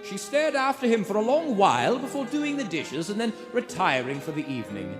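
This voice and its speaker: Over prominent music in a resonant theatre, a male actor plays a suspenseful radio thriller narrator. His high voice is theatrical and moves widely in pitch. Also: very animated, fairly quick. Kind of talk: dramatic scripted radio play narration. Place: theatre stage before a live audience. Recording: echoey theatre with live music behind. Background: musical accompaniment.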